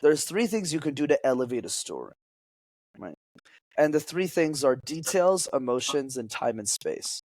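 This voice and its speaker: A young man who grew up speaking French, explaining storytelling as a public speaking coach in an energetic, teacherly way as a podcast guest. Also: on the high side, moderate pace, no echo.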